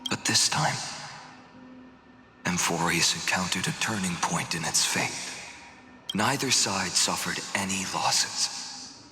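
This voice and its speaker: A solemn swordsman weighed down by fate in a video game story. His voice is on the low side and slow, with an intense, cutting edge. He measures each phrase gravely, at times sinking toward a whisper. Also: animated, sharp tone, echoey room, big-room sound, full character voice. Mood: solemn, reflective. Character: solemn nameless swordsman, weary man burdened by fate. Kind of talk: dramatic game dialogue and narration.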